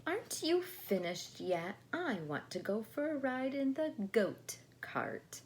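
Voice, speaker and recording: A woman's voice: sits high, sing-song, slow, pitched above the performer's own voice, full character voice. A woman voicing a demanding, impatient little girl in a children's storybook, with a whiny, insistent manner. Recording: faint background noise.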